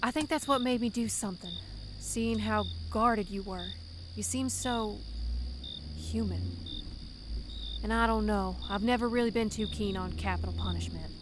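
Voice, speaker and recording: Woman playing an earnest, gentle defector, noisy backdrop. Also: mid-range voice, moderate pace, lightly acted. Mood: earnest, reflective. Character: conscientious female defector, apologetic, sincere, gentle. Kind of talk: audio drama acting.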